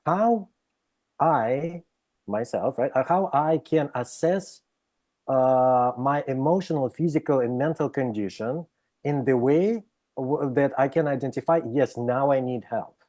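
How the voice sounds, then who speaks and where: A man from Russia with a Russian accent, speaking as a psychologist guest in an interview, explaining with many pauses, restarts and "right?" checks. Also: mid-range voice, dark tone. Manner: hesitant, frequent "right?" tags and restarts. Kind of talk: interview conversation.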